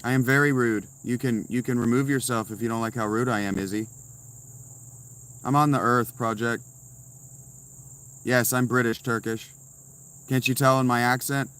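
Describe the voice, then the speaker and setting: A man's voice: medium pitch, steady tone, moderate pace, long pauses, a bit bright, smooth. A man streaming live from inside a tent, answering chat messages with blunt, sarcastic replies. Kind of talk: casual livestream chat.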